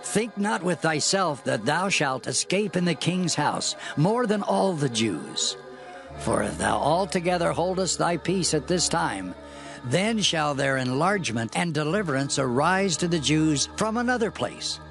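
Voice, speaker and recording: Grave biblical figure, creaky voice, solemn, deliberate, admonishing, scripture audio drama, music behind. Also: male voice, on the high side, lively intonation, a bit bright. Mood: grave warning.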